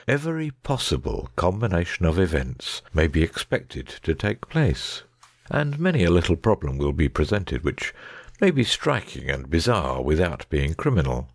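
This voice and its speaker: A man playing a keen, analytical gentleman detective in a dramatic audiobook reading, precise, discursive and wry. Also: extremely low pitch, sing-song, moderate pace, no echo, clean studio sound, heavily acted. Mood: explaining.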